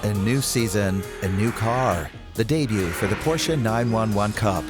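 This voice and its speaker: A man narrating race highlights in a measured, scripted voice-over. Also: music in the background.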